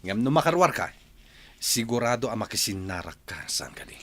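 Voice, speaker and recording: A man's voice: mid-range voice, sing-song, moderate pace, a bit bright, no room sound. A man with a northern Philippine accent playing a hot-tempered, domineering, suspicious husband in a radio drama, barking his questions. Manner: barking, interrogating.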